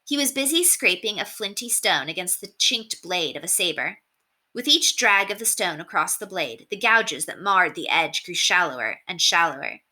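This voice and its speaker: A woman narrating a novel aloud in an expressive storybook reading style. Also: fairly low pitch, moderate pace.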